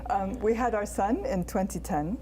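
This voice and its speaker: A woman's voice: mid-range voice, normal speed, warm tone, slight background noise, low-quality recording. A woman from Jordan, a real estate agent, chatting casually as an interview guest, often laughing and saying um. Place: indoor studio.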